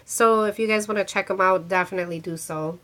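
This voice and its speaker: A woman's voice: mid-range voice, steady tone, fast talker, fairly bright tone. A woman with a congested voice from a cold, chatting casually to her viewers about a handmade crystal ring, with lots of restarts and filler words. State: congested from a cold, sore throat. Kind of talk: casual talk to camera showing a handmade ring.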